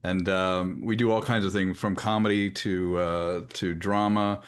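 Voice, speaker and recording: Low-voiced male voice actor. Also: flat delivery, measured pace, smooth. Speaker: voice actor, podcaster, panelist. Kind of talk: casual self-introduction.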